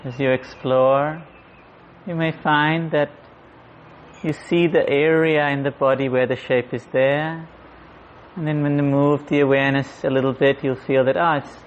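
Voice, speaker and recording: A man guiding a meditation in a gentle, unhurried manner, leaving long pauses. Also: fairly high pitch, flat delivery. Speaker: meditation guide. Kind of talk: guided meditation. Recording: muffled audio with clear background noise.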